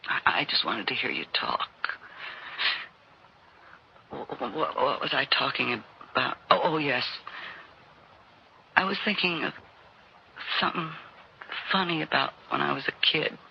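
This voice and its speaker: An actor playing a jaded, desperate former showman in a 1960s radio drama, with halting, stammering speech that often repeats itself. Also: mid-range voice, vocal fry. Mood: nervous, wistful. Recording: dull, hissy old radio recording.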